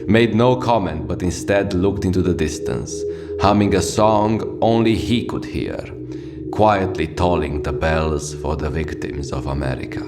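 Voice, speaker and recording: A man playing a sardonic, jaded late-sixties radio host, delivering a scripted, poetic monologue in a deadpan manner. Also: low-pitched. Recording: music behind the voice. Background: music.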